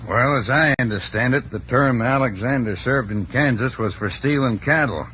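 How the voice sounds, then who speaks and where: A man playing a stern rancher, quick to anger, in old-time radio drama. The delivery is cold and clipped at a middling pitch. The sound is dull and faintly noisy. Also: fairly bright tone, natural voice. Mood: stiff, disapproving. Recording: muffled, noisy vintage radio recording.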